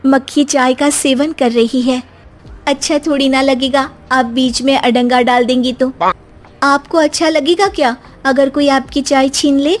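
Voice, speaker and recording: A woman's voice: sits high, level tone, measured pace. A woman voicing a pious, naive young daughter-in-law who pleads and moralizes in a comic moral story. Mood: polite, insistent. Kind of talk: Hindi moral comedy storybook cartoon acting.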